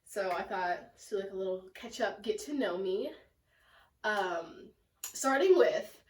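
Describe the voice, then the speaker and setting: Congested raspy young woman vlogging. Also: medium pitch. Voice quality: raspy and nasal. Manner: chatty and self-deprecating, lots of "like" and "um". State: sick, congested. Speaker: plant vlogger. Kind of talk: casual rambling vlog chat. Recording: echoey room, mic at a distance.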